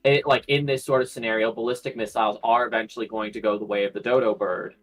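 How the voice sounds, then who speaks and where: A man's voice: lowish voice, fairly even intonation, fast talker, faint music behind, low-quality recording. A man in a casual speculative discussion on a livestream panel, repeating phrases as he reasons things through.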